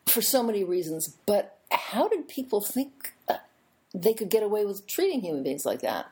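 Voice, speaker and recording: Woman interviewer, mellow and a bit husky, curious, keeps asking follow-ups and saying yes, mm-hmm. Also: mid-range voice, big pitch swings, normal speed. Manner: curious, asks probing follow-ups, frequent affirming interjections. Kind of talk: conversational interview.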